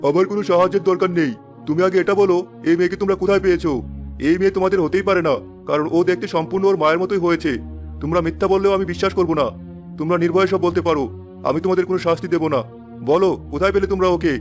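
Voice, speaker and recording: A cartoon king, high-pitched and talking at a breakneck clip, commanding and earnest, as a man voices him. Music plays clearly behind him. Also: steady tone, very fast, natural voice. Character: grieving king searching for his lost daughter. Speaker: voice actor. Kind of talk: cartoon voice acting. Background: background music.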